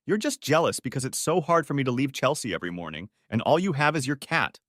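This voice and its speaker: A joking young husband who protests lightly and keeps things playful, as the narrator voices him. His voice is a bit raised and lively in pitch. The reading is dry and close. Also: quick pace, no room sound, close to an everyday voice.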